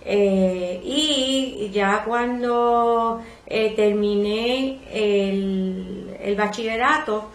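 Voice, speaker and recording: A Puerto Rican woman in her sixties recalls her career as a librarian in a polite, measured, reflective way during an oral history interview. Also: medium pitch. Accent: Puerto Rican Spanish. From Puerto Rico. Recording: echoey room.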